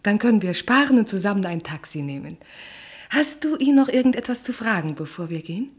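Radio drama wife, breathy husky voice, medium pitch, composed but keeps pushing. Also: female voice, lively intonation, a little fast. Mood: composed, insistent. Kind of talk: German radio play acting.

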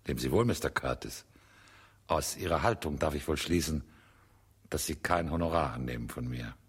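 An actor playing a cunning, cynical defendant in a radio drama, arguing sardonically in a husky, gravelly voice. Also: male voice, a bass voice, moderate pace. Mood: smug, challenging. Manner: sardonic, argumentative. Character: cunning, cynical acquitted defendant, smugly self-justifying.

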